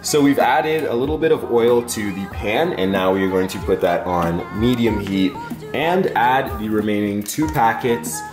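A man's voice: on the low side, normal speed. A young man hosting a casual cooking vlog in his home kitchen, chatting upbeat and directly to viewers. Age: young adult. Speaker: fitness vlogger, host. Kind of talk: casual cooking demo to camera. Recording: roomy kitchen echo with background music.

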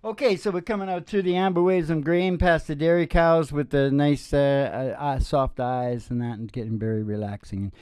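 A middle-aged man hosting with rambling, improvised storytelling in a folksy, halting way, speaking into a close stand microphone. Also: on the high side, fairly even intonation, a little mellow, smooth, dry recording. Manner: folksy, halting, with trailing sentences. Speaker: podcast host and singing DJ. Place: small indoor stage with curtain backdrop.

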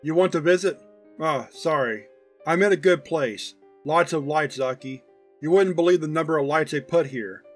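A man's voice: a little high, normal speed, faint music behind, lightly acted. A man voicing an affectionate, proud, teasing old grandfather in a fond, folksy, chatty manner for a story reading. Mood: fond, advising.

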